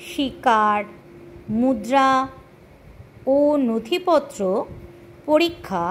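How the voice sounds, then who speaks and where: A woman teaching a question-and-answer lesson, pausing deliberately between short phrases as if dictating to pupils. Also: sits high, a little hiss or hum. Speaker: teacher presenting a history lesson.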